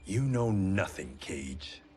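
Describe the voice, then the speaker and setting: A man's voice: low voice, sing-song, slow, dark tone, heavily acted. An actor playing a proud, stern Yakuza swordsman in a cinematic video game, speaking in a terse, solemn, menacing way. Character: stern, proud male Yakuza swordsman seeking clan honor.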